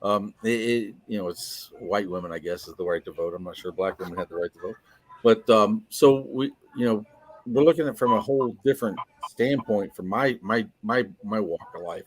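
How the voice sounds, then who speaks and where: An American man from upstate New York speaking casually on a discussion panel, halting and repeating his words. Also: on the low side, slightly rough, no room sound, poor audio. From upstate New York, United States.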